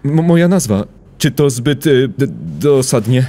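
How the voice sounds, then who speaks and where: A man's voice: medium pitch, lively intonation, a little slow, mellow, natural voice. A young man playing an earnest, anxious wolf student in a comedic anime parody dub, speaking hesitantly with stammers. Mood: awkward. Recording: music behind the voice.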